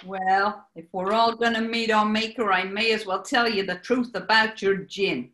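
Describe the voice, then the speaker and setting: A woman's voice: mid-range voice, fairly even intonation, normal speed, fairly bright tone, close to an everyday voice. A woman playing a plucky, loyal bootlegger, earnest and firm, in a radio play. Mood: earnest, defiant. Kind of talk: 1940s-style radio play acting.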